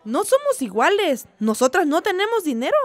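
A woman's voice: very high-pitched, very animated, normal speed. An actress with a Mexican accent playing a weary, outspoken village woman in a radio drama. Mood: fed up.

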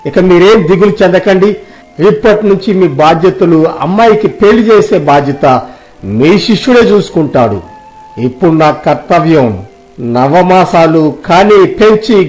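A man's voice: high voice, a little mellow, rough recording. A man playing an indignant, righteous father who defends wronged elders in a dramatised moral story, speaking emphatically and declaiming. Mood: reassuring.

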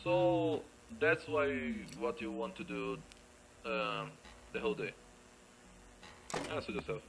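A man's voice: mid-range voice, wildly swooping pitch, slow pace, vocal fry, fairly bright tone, slight background noise, poor audio. A man giving casual, off-the-cuff game commentary, muttering playfully to himself, repeating phrases and exclaiming as he plays. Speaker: gamer recording a let's play.

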